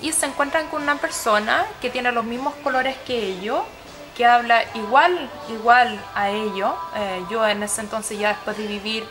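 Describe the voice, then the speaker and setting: A woman's voice: fairly high pitch, moderate pace, over music. A woman with a Chilean accent telling her personal story as an interviewee, reflective and often searching for words. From Sweden and Chile.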